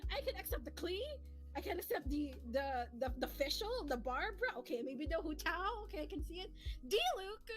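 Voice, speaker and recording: A woman with a high voice rambles through a casual livestream chat. She is animated and giggly, like someone chatting with friends. Soft lofi music plays faintly behind her. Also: moderate pace. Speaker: streamer and host who voices comic dubs. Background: soft lofi background music.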